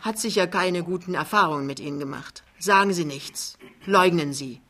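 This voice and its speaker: A woman's voice: on the low side, normal speed, a bit bright, slight background noise, lightly acted. Woman putting on a blustering, jovial big male wheeler-dealer. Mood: confiding, chummy. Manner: blustering, colloquial, chummy. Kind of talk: dramatized literary reading.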